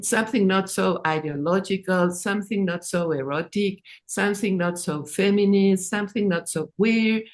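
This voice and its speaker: A woman, not an English speaker, presenting her own work in a halting, informal way, repeating words. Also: mid-range voice. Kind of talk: informal artist talk and reading.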